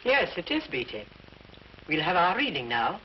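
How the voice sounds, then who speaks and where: A woman's voice: fairly low pitch, very animated. An imperious older sister speaks with formal, icy courtesy. Her intonation moves widely in 1940s melodrama style. The film soundtrack is muffled, with faint noise behind her. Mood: stately, controlled. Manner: formal, gracious, measured wording. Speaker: film actress.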